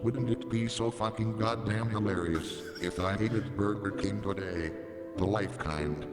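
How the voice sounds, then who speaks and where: A man's voice: fairly low pitch, fairly even intonation, measured pace, lightly acted. A man voicing a crude, flippant cartoon king reading out a letter in an improvised comedic fandub. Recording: echoing hall, loud music.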